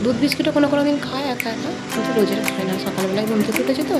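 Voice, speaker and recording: A woman's voice: a little high, racing. A young woman narrating her daily home routine in a casual, chatty, informal way, explaining things as she goes. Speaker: homemaker vlogger and mother. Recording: loud music under the voice.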